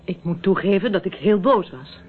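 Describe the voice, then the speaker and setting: Genteel, uneasy hostess played by an actress, tinny recording. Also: female voice, medium pitch, animated, normal speed, close to an everyday voice. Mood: uneasy, probing. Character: polite, uneasy society hostess, discreetly probing. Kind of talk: Dutch radio drama acting. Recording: tinny, narrow old radio recording, faint noise.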